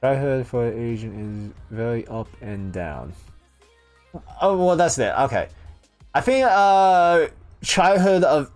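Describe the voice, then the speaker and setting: A man's voice: medium pitch, takes it slowly, a little mellow, soft background music. A young man chatting casually with viewers, rambling with lots of fillers and restarts. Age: young adult. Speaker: youtuber answering viewer questions. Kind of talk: casual chat.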